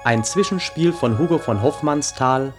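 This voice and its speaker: A man's voice: mid-range voice, moderate pace. A man making a formal, scripted title announcement as a radio drama announcer. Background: clear music.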